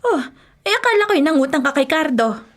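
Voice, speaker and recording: A woman's voice: high voice, big pitch swings, fairly quick. A woman with a Filipino accent playing a bitter, ungrateful, nagging wife in a radio drama. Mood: bitter, scornful. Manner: nagging, loud griping. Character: bitter, ungrateful, sharp-tongued wife recovering from surgery.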